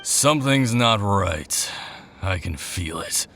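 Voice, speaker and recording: A man's voice: on the low side, animated, slow, fairly bright tone, slight background noise, exaggerated voice. A man voicing a menacing male bandit boss who talks in curt, suspicious, threatening lines. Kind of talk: video game voice acting.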